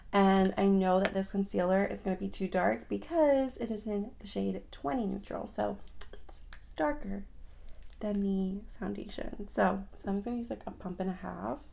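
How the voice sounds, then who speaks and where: Raspy-voiced young woman, chatty morning talk. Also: medium pitch, a little mellow, a little hiss or hum. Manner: chatty, rambling, filler-heavy. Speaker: beauty vlogger. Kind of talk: casual get-ready-with-me chat.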